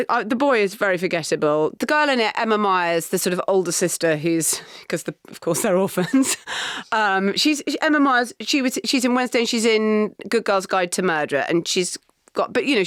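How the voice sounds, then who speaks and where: A middle-aged woman with an English accent, co-hosting a podcast, speaking in an animated, wry way with halting restarts. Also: medium pitch, clean tone, no echo, studio quality. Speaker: podcast co-host. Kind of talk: casual chat.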